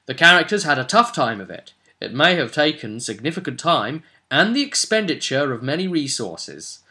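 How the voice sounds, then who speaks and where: A man's voice: fairly high pitch, very animated, moderate pace, sharp tone. A man giving an informal, explanatory tutorial in an emphatic, opinionated, conversational way. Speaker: host of a tabletop role-play tutorial series. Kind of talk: informal explanatory monologue with read-out rules.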